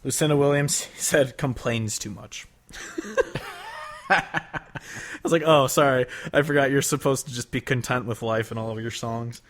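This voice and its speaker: A man's voice: medium pitch, steady tone, moderate pace, pauses often. A man co-hosting a casual podcast, reading from his notes and laughing often. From Northern US. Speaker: podcast co-host. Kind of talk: casual chat.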